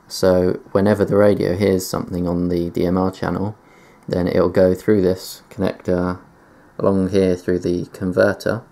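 A man's voice: low-pitched, gravelly, warm tone. A man giving a casual tech demonstration in a matter-of-fact, explanatory way, presenting gear on video indoors. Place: indoors at a desk.

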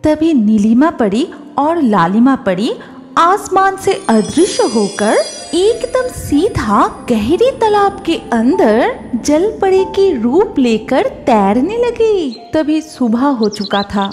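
A woman narrates a children's tale in a high voice with lively swings of pitch. She reads the script slowly as an expressive storyteller, over music.

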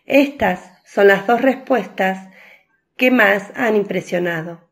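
A female narrator reads a scripted devotional story for radio. Her delivery is slow and composed, with plenty of vocal fry in a mid-pitched voice.

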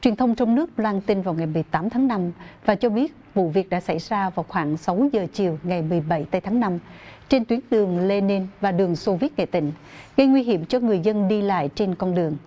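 A woman's voice: medium pitch, lively intonation, brisk, dark tone. A young woman reading scripted news formally as an anchor in a TV studio.

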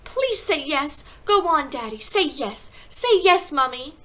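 A woman's voice: sits high, fairly quick, sharp tone, pitched above the performer's own voice. A woman voicing a small young girl in a storybook, desperate and eager, with urgent, pleading exclamations.

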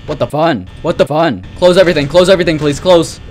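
A man's voice: a little high, fast talker, darkish tone, soft background music. A young man giving casual, rambling live commentary on a horror game, nervous and exclamatory, with some swearing. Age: young adult. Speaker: gaming streamer.

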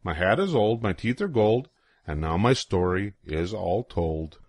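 Older man, slow and very animated reader. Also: low-pitched, no echo, studio quality. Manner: sing-song rhyming delivery with deliberate pauses. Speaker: storybook reader. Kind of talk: expressive children's picture-book read-aloud.

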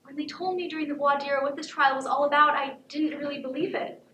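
A woman's voice: high voice, steady tone, fast, fairly bright tone, muffled recording. A teenage woman playing a troubled, incredulous twice-divorced juror in an emphatic, questioning stage monologue. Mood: troubled, disbelieving. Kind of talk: high school stage monologue. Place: indoor theatre stage. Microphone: far camera. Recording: echoey noisy theatre, distant microphone.

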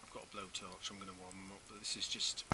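A man's voice: deep voice, level tone, fast talker, very noisy. A man giving a casual step-by-step how-to while working outdoors on a garden patio, often restarting phrases as he explains. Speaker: DIY video maker.

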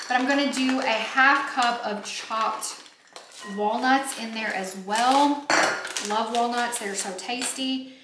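A chatty woman with a fairly high voice walks viewers through a recipe in an informal way. She is recorded a few feet from the camera in a home kitchen, so the sound is noticeably roomy, with faint background noise and some clinking of dishes. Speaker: home baking vlog host. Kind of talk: casual recipe demonstration. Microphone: camera a few feet away.

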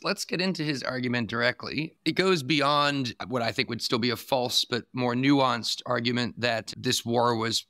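A man hosting a podcast in a bright, forward voice. He speaks in a measured, deliberate way and sometimes repeats a word as he restarts. The recording is dry and close-miked. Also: mid-range voice, no echo. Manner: measured, restarts words, lays out arguments at length. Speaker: podcast host. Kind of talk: podcast interview.

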